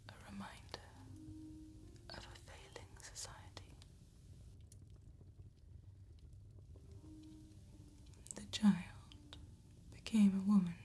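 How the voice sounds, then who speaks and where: Casting: woman to play an ageless female vampire tattooist for gothic ASMR roleplay; breathy whisper, unhurried, seductive, coolly amused. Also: medium pitch, mellow. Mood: hushed storytelling. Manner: slow, measured, formal, old-fashioned phrasing. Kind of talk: soft-spoken ASMR fantasy roleplay.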